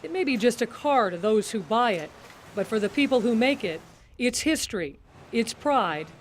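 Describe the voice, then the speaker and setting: A woman's voice: fairly high pitch, animated, moderate pace, a little hiss or hum. A middle-aged woman, a TV news reporter, delivering scripted news narration.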